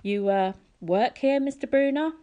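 A woman's voice: high-pitched, a little slow, mellow, smooth, dull audio, voice pushed up. A woman voicing a polite, confused young boy hero in a storybook, hesitant and questioning. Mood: awkward. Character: eager, puzzled, polite twelve-year-old boy hero.

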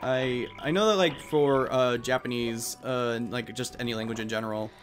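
A man's voice: medium pitch, steady tone, moderate pace. A man hosting a casual video game playthrough in a rambling, chatty way. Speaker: Let's Play host. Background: video game music.

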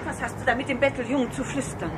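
Posh fretful mum done by an actress, melodramatic, high voice, noisy old radio drama. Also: female voice, fairly even intonation, fast talker, close to an everyday voice. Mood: cross, haughty. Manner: melodramatic, shocked. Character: fretful, haughty upper-class mother, easily horrified. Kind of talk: 1960s German radio play acting. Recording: noisy old radio play with street ambience.

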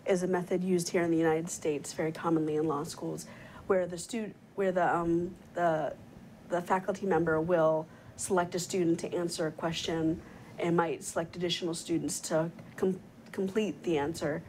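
Woman host, 30s, lower register, loose chatty delivery on a lapel mic. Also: fairly even intonation, moderate pace, a little mellow, a little hiss or hum, low-quality recording. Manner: chatty, many fillers and restarts. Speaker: host, program coordinator. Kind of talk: hosting a live webcast panel. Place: TV studio set.

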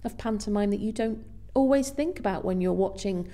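A woman is being interviewed and explains her work conversationally. She has a mellow, soft-edged voice at an average female pitch. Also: a little fast. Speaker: guest speaker, theatre finance professional.

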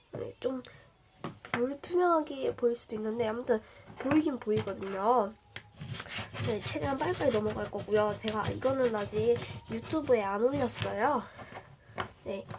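A woman's voice: fairly high pitch, a little mellow. A young woman with a slight cold showing slime to viewers in a casual chatty vlog, full of fillers and questions to the audience. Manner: chatty, many fillers, addresses viewers directly. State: has a cold, voice a bit changed. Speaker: slime reviewer. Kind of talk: casual show-and-tell vlog. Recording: noisy home recording.

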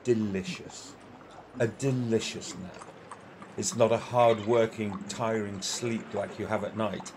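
A man playing a peevish, competitive older gentleman in an amateur radio play, with a deliberate, emphatic, easily exasperated manner. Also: lowish voice, fairly even intonation, background noise. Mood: smug relish.

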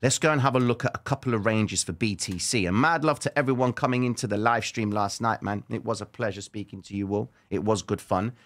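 A man's voice: on the low side, a little fast, few pauses, a bit bright. A young man from Cyprus giving casual live market commentary, chatty and informal, talking straight to his viewers on a close studio microphone. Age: late 20s to 30s. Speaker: host of a trading livestream. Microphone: close studio microphone on an arm.

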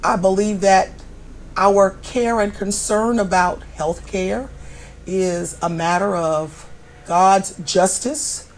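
Slow, emphatic woman in her sixties. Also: medium pitch, a little hiss or hum, low-quality recording. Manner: deliberate, emphatic conviction. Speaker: minister. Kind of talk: unscripted testimonial. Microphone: lapel mic.

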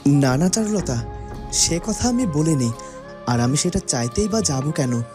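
High-voiced gentle young prince played by a man. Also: animated, unhurried, music in the background. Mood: gentle, hesitant.

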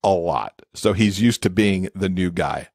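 Low-voiced man chatting as podcast guest. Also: unhurried, darkish tone, no echo, clean studio sound. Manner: enthusiastic. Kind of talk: casual chat.

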